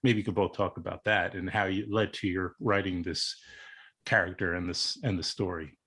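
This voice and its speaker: A man's voice: low-pitched, fairly even intonation, moderate pace, bright, forceful tone, husky. A middle-aged man hosting an interview, asking rambling, meandering questions full of restarts. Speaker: interview host. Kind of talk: casual interview.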